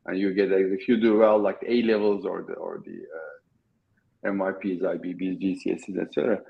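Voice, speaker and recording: Turkish-accented man on a dull call line. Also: on the low side. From Turkey. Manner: halting, many restarts and fillers. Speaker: guest. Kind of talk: casual interview talk.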